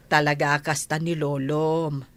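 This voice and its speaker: Easygoing, curious female friend played by a woman in audio drama. Slow pace, close dry sound. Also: low-pitched, flat delivery, a bit bright, no room sound. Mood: curious, friendly.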